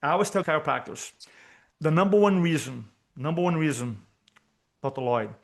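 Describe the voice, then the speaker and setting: A man's voice: on the high side, animated, moderate pace, vocal fry. A man from Brazil with a Brazilian Portuguese accent, whose first language is Portuguese, talking energetically as a speaking coach on a video call and repeating key points for emphasis. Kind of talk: interview guest on video call.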